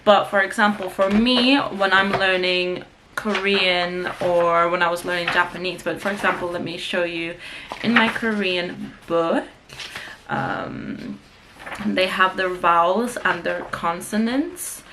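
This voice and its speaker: A woman's voice: on the low side, slow, noisy background. A young woman from Sweden who vlogs, chatting to her camera in a casual, rambling way, with plenty of fillers and restarts. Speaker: vlogger.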